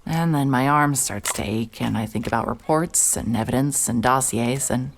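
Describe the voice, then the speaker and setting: A woman's voice: extremely low pitch, moderate pace, vocal fry. An actress playing a hard-hearted, weary female private investigator in an audio drama, with a dry, sardonic delivery. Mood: wry, reflective. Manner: deadpan, sardonic. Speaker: narrator and lead character. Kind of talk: cinematic audio drama, first-person noir narration and dialogue.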